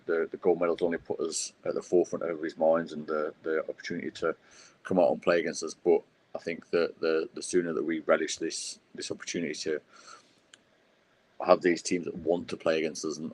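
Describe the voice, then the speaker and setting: A man answering podcast interview questions as a guest, speaking haltingly with many fillers and restarts. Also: deep voice, a little mellow, a little hiss or hum. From United Kingdom. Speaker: guest, wheelchair rugby player.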